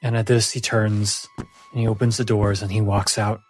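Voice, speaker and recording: A man's voice: on the low side, little pitch movement, normal speed, poor audio. A man narrating a fantasy tabletop game as its game master. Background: music under the voice.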